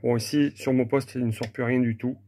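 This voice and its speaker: A man's voice: medium pitch, steady tone, a little fast, dark tone, a little hiss or hum. A man informally presenting a hands-on technical demonstration, explaining plainly and a little hesitantly, without a script. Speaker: hobbyist presenter demonstrating old equipment.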